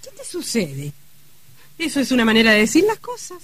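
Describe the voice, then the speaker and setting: A coquettish, mocking wife in a radio drama. Her voice is husky and fairly high, with lively swings in pitch. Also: fairly quick, no room sound, exaggerated voice. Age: middle-aged. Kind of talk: old radio drama acting.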